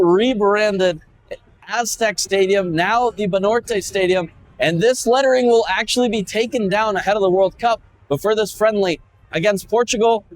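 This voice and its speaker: A man delivering a scripted sports report about a stadium. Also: high voice.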